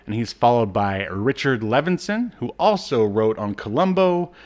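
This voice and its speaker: A man's voice: mid-range voice, lively intonation, normal speed, darkish tone. A man co-hosting a podcast, chatting casually and reading from notes with joking, wry asides. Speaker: podcast co-host.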